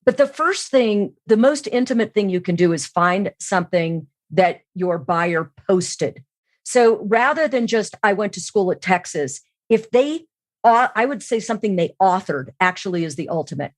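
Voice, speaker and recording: A woman's voice: mid-range voice, expressive, normal speed, no echo. A woman speaking as an expert interview guest, explaining her points conversationally with emphasis on key words. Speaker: podcast guest, sales trainer and keynote speaker.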